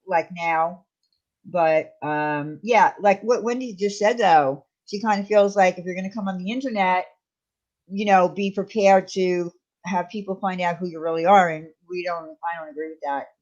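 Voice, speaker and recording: Lower-pitched woman livestreamer, slightly muffled. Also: steady tone, moderate pace, darkish tone. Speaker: livestream host. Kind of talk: casual chat with live viewers.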